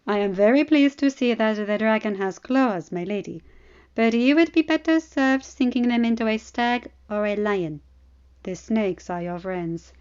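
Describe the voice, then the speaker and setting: A woman voicing a courteous, smirking prince in audiobook dialogue, speaking in a courtly, deliberate way. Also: fairly high pitch, a little mellow, clean tone, dull audio, close to an everyday voice. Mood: placating. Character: smooth, smirking, courteous Dornish prince, male.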